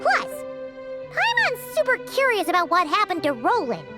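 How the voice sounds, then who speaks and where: Game role: excitable little girl fairy, piping, chirpy, very animated. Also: moderate pace, exaggerated voice. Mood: curious. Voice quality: squeaky, childlike. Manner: chirpy, exclamatory, refers to herself by name. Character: tiny excitable floating girl fairy companion. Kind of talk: video game voice acting. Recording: loud music behind the voice.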